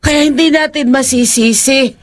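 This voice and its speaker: A performer playing a calming adult witness who explains and reasons in a horror audio drama. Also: high voice, moderate pace, lightly acted. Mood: explaining. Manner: conciliatory, explaining.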